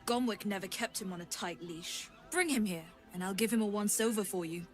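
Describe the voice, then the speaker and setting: Game role: woman as firm, businesslike dog keeper, mid-pitched, faint music behind. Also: lightly acted. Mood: matter-of-fact. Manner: brisk, firm. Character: stern, no-nonsense courier dog keeper. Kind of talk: video game character acting.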